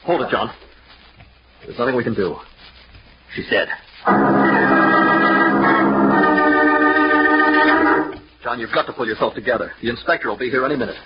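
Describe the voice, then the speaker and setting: An actor playing a breezy, slangy young brother-in-law from out of town in an old-time radio mystery. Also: male voice, medium pitch, fast, lightly acted. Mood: grave. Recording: noisy, dull vintage radio recording.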